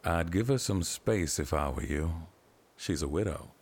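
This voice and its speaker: A man's voice: deep voice, measured pace. A man playing a formal, self-assured heir to a governor in an audio drama, speaking with pompous polish. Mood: composed.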